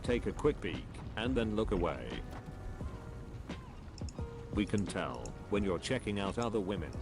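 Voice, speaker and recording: A man reading forum posts aloud at a flat, even reading pace. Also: fairly low pitch, unhurried. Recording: music playing loudly behind.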